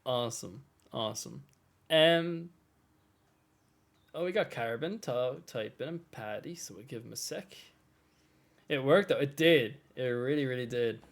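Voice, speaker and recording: An Irish man with an ordinary, mid-pitched voice hosts a relaxed community call over a voice chat mic. He jokes along, laughs a lot and swears without a second thought. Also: unhurried, gravelly, pauses often. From Ireland. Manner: laughs often, swears freely, upbeat banter. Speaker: host. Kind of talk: casual open meeting chat.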